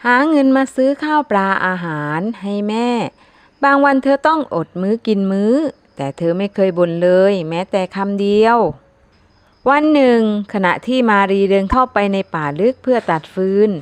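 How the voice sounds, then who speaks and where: A woman's voice: mid-range voice, lively intonation, normal speed, smooth, no echo. A woman narrating a scripted children's folktale as a storyteller. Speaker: storyteller, channel host.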